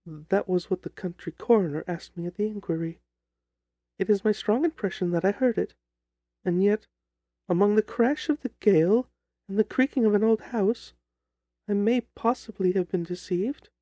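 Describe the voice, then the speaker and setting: A man voicing a distressed young gentlewoman who tells her grim story in a formal, earnest, theatrical way, as in an audiobook drama. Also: high-pitched, moderate pace, no room sound, highs cut off. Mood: earnest, troubled. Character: distressed young gentlewoman recounting her sister's terrifying death.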